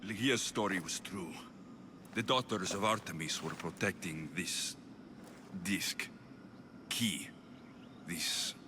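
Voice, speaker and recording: An actor playing a calm, determined warrior hero in a video game cutscene, speaking in a steady, measured way. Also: male voice, deep voice, background noise. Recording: game cutscene with loud score behind.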